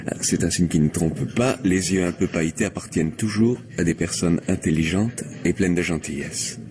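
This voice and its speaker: An actor playing a glib, insistent gentleman in an old French radio play, talking a lot, wheedling and stammering. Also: sits low, moderate pace, faint music behind, lightly acted. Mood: smooth flattering. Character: glib, insistent gentleman pestering a young woman. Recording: noisy old radio drama recording.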